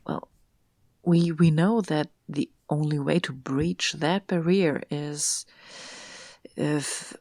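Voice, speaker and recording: Woman acting a low, breathy, guarded detective. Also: fairly even intonation, dark tone, no echo, heavily acted. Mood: hesitant, probing. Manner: measured, hesitant, often whispery. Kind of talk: hushed audio drama acting.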